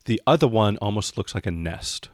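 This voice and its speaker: A man with a fairly low voice works as game master in a tabletop role-playing game. He builds his descriptions haltingly as he goes, in a dry, close recording. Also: lively intonation, darkish tone, dry recording.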